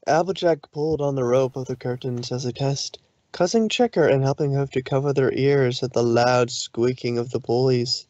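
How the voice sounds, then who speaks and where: A man's voice: medium pitch, moderate pace, darkish tone, no room sound, muffled recording. A man narrating a fan fiction story aloud in an informal read-through.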